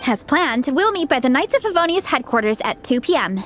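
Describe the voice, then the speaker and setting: A woman's voice: high-pitched, sing-song, fairly quick, fairly bright tone, faint music behind. A woman voicing a perky, encouraging young woman who leads a group, in an anime-style video game dub. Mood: cheerful, encouraging. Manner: peppy, organizing.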